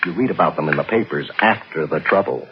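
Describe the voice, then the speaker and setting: A man's voice: very deep voice, fast talker, music in the background. An actor playing an anxious, overprotective middle-aged father in a 1960s radio suspense drama, with a fretful, brooding, melodramatic delivery. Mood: worried, brooding. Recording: noisy, dull 1960s radio recording.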